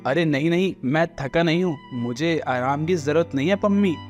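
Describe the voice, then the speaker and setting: Cartoon husband male actor: earnest, colloquial truck driver turned cook, slightly raised pitch, light music underneath. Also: normal speed, close to an everyday voice. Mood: polite, humble. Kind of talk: Hindi cartoon story dubbing.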